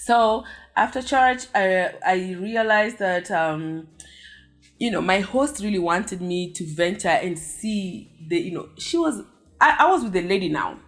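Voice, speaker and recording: Animated Kenyan woman telling a story. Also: medium pitch, a little slow, soft background music. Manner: animated, exclamatory, chatty. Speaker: vlogger. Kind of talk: casual storytelling to viewers.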